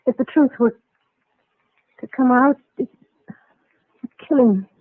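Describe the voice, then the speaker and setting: An actress playing an earnest, lovestruck and troubled young woman in a mid-century radio melodrama, measured and dramatic. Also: high voice, no echo. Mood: distressed. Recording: tinny vintage radio recording, music behind.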